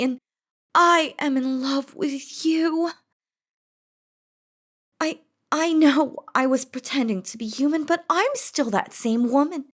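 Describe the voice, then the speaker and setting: A woman's voice: high-pitched, voice pushed up. A woman voicing a possessive, commanding demon queen in a romantic audio roleplay, dramatic and by turns threatening and doting. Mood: pleading, lovestruck.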